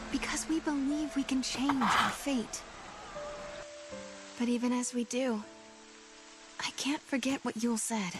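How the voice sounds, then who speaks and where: An actress playing an earnest young time traveler in a game cutscene, troubled but hopeful. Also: female voice, sits high. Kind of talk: dramatic game cutscene voice acting. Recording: loud music under the voice.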